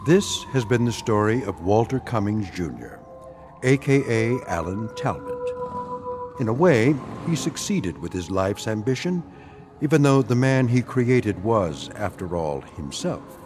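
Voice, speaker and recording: An actor delivering grave, measured, portentous narration for an eerie radio drama anthology. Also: male voice, fairly low pitch.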